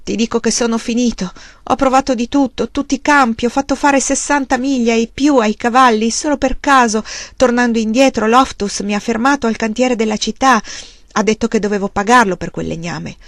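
A woman reading a story aloud and voicing a proud, desperate male frontier farmer who speaks in bitter, forceful outbursts. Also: mid-range voice, quick pace, vocal fry, few pauses, no echo, studio quality.

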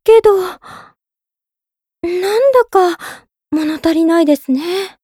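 A woman playing a gentle, polite young woman in love, narrating in character for a drama CD with sweet earnestness. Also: very high voice, slow pace, dry recording, clean studio sound. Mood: flustered, hopeful.

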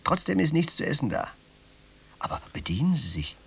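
An actor in a German radio play, playing a middle-aged, world-weary rich man with a husky voice and a languid, musing manner. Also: on the high side, very animated, normal speed, heavily acted. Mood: grumbling.

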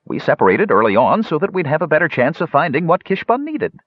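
A man's voice: a little high, very animated, quick pace, no echo, pitched above the performer's own voice. A man voicing an earnest human man defending his friend in a fantasy audiobook. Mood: earnest, defensive. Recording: dull, lo-fi recording.

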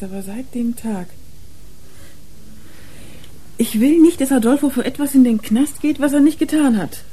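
Young female drug user in a radio crime play, frank and defiant, soft dark tone, played by an actress. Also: mid-range voice, gravelly, a little hiss or hum, close to an everyday voice, rough recording. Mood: confiding, upset. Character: worn young drug-using woman, confiding and defiant. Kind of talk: German radio crime drama acting.

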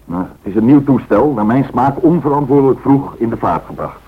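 A man's voice: mid-range voice, expressive, a little fast, husky. An actor playing an authoritative male airline director in a radio drama, firm and businesslike. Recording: thin, phone-like old radio recording.

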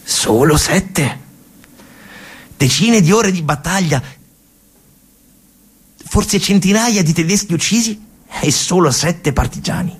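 A man with a Roman accent delivering a dramatic theatre monologue in a colloquial, emphatic way, his voice husky and gravelly. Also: sits high, lively intonation, slow pace, long pauses. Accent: Roman Italian. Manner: colloquial, emphatic storytelling with repeated phrases. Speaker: stage actor and storyteller.

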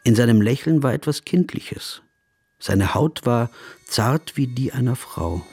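An actor playing a reflective, observant traveller, narrating his story in a measured literary style for a radio play. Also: medium pitch, expressive, darkish tone, breathy edge. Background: music.

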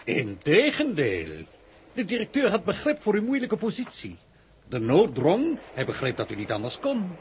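A man's voice: high-pitched, huge pitch swings, normal speed, heavily acted. A man playing a pedantic, unctuously polite road police inspector who lectures formally and at length, in 1960s radio drama style. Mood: unctuous, lecturing. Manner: long-winded, formal lecturing. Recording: noisy old radio drama tape.

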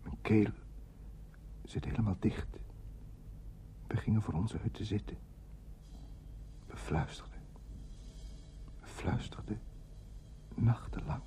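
An actor playing a sick, weary fisherman in a radio drama, telling his story gravely in a hushed, half-whispered voice. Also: on the low side, slow pace, long pauses. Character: sick, weary fisherman from a poor village, survivor of atomic fallout. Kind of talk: slow radio drama monologue. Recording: noisy old radio play recording.

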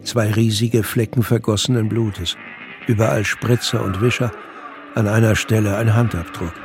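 A man's voice: lowish voice, steady tone, moderate pace. Dry male narrator over faint music. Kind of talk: scripted audio drama narration.

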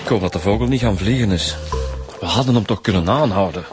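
An actor playing a level-headed police detective and second interrogator in a Flemish radio drama. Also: male voice, on the low side, quick pace, music in the background, close to an everyday voice. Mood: matter-of-fact. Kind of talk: naturalistic radio drama acting.

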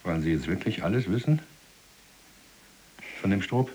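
A man's voice: low-pitched, lively intonation, moderate pace, dark tone, breathy edge, low-quality recording. An actor playing a chatty middle-aged German ex-policeman in prison, talking colloquially with reluctant, ironic asides in a radio play. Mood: wry, gossipy.

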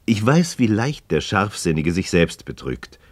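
Very deep-voiced, inquisitive skeptic. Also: male voice, very animated, no room sound, exaggerated voice. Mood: skeptical, courteous. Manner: questioning, deliberate, rhetorical. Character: inquisitive, skeptical male friend, probing but courteous. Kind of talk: scripted philosophical dialogue in German radio drama.

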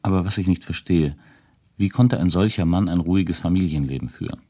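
An actor playing a puzzled, persistent researcher who questions witnesses in a measured, inquiring way in a German radio drama. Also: male voice, sits low, warm tone, breathy edge. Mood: curious, probing.

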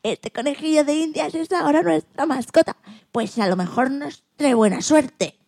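A woman's voice: high voice, moderate pace, a little mellow. A woman playing a kind, sensitive young schoolgirl in a children's radio play. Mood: hopeful. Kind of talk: children's radio drama acting.

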